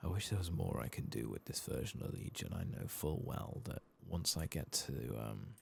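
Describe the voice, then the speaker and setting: A British man giving soft-spoken, casual ASMR game commentary in a hushed voice, muttering to himself close to the mic. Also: a bass voice, sing-song, moderate pace, gravelly, breathy edge. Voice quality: soft, hushed, at times whispered.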